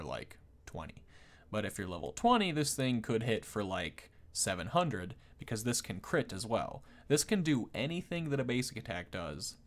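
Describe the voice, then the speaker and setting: Low-voiced man giving casual game commentary. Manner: rambling, conversational explaining. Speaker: gaming video host.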